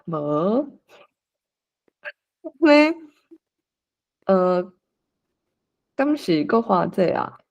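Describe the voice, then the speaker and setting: Animated Taiwanese host organizing a group. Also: mid-range voice, drawn-out words, pauses often, dull audio. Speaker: host assigning roles for a script read-along. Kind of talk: casual online meeting chat.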